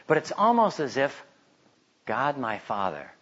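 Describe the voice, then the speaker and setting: Male Bible teacher, animated storyteller, mid-pitched, slightly muffled lo-fi sound. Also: measured pace, husky. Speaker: Bible teacher giving a talk. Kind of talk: informal religious teaching with stories and a read-aloud testimony. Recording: slightly dull, lo-fi recording.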